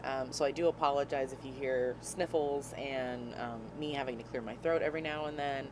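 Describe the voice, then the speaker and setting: Low-voiced woman, scratchy from a cold. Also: steady tone, brisk. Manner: chatty and self-mocking, laughs at her own clumsiness. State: slightly hoarse from a cold, scratchy throat. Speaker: vlog host. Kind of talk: casual cooking vlog narration. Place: small RV kitchen. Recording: noticeable background noise.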